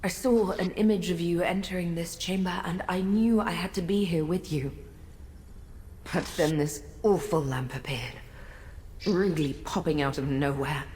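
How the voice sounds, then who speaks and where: A woman's voice: on the low side, normal speed, darkish tone, lightly acted. A woman playing a tormented, solemn mystic in a video game, with a dramatic, ominous delivery that can flare into anger. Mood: haunted. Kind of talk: video game character acting. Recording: long cavernous reverb, faint background noise.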